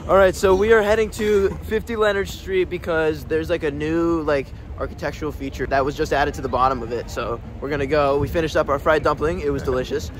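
A man's voice: fairly high pitch, fairly quick, few pauses, soft background music. A young man in his twenties chatting casually as a vlog host while walking outdoors, filming himself at arm's length. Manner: relaxed, offhand, chatty. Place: outdoors on windy city streets and subway platforms. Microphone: phone or camera held at arm's length.

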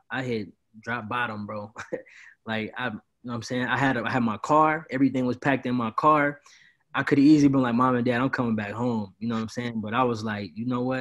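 A man's voice: mid-range voice, dull audio. A man in his twenties from Illinois, a party promoter chatting loosely on a video call, rambling and often saying "bro". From Peoria, Illinois. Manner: laid-back, rambling, says "bro" often. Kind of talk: casual interview. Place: indoors, small room at home.

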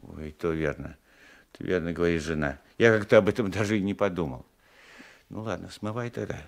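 An actor playing a querulous old peasant husband, hesitant and self-justifying, in a 1980s Soviet radio drama. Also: male voice, low voice, brisk, creaky. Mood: sheepish, yielding. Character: querulous, battered old peasant husband, meek but stubborn.

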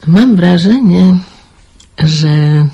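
A man's voice: high voice, expressive, extremely slow, gravelly, dark tone, breathy edge, highs cut off, full character voice. A man voicing a worried elderly mother in an amateur radio play, sighing and fretful.

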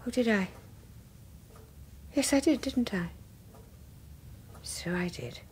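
An actress playing a guarded, self-reproaching wife in a radio drama, hesitant and reflective. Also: female voice, mid-range voice, animated, slow, darkish tone, breathy edge, full character voice. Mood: guarded, wistful. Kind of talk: 1980s radio drama acting.

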